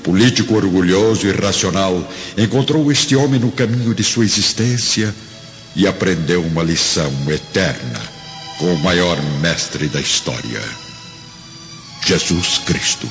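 Deep, slow Brazilian male narrator. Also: a bit bright. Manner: solemn, theatrical delivery with weighty pauses. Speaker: narrator of a radio drama. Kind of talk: dramatic scripted narration. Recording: dull lo-fi, long hall reverb. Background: prominent background music.

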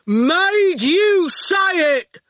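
A woman voicing a big, gruff, terse man who speaks in clipped fragments for a storybook. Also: fairly high pitch, expressive, extremely slow, no echo, clean studio sound, pitched above the performer's own voice. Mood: gleeful. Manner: clipped fragments, dropping pronouns and small words.

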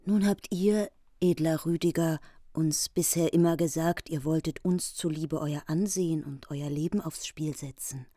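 Eine Schauspielerin spielt in einem deutschen Hörspiel eine trauernde, rachsüchtige Königin, feierlich und eindringlich. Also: female voice, low-pitched, fairly even intonation, moderate pace, warm tone, no room sound, clean studio sound. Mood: urgent pleading. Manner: solemn, formal, insistent. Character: vengeful, grieving queen demanding sworn service. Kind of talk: German radio play of a medieval epic.

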